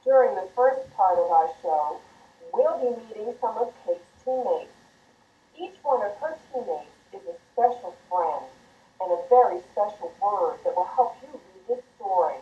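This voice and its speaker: A woman presenting a children's reading show, speaking slowly and encouragingly as she leads the viewers in cheers. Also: medium pitch, lively intonation, mellow. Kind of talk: scripted address to children. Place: indoor studio set with plant backdrop. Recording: dull, roomy old videotape sound from far off.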